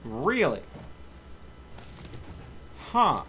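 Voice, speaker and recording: A man's voice: on the high side, wildly swooping pitch, drawn-out words, bright, forceful tone, slight background noise. A man chatting casually as a game streamer, with playful, joking asides. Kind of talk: casual gaming livestream chat.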